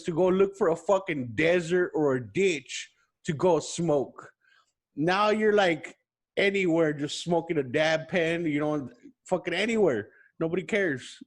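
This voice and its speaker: A man in his thirties hosting a podcast, chatting loosely, swearing a lot and calling his guest bro. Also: high-pitched. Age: 30s. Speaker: podcast host. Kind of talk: casual chat.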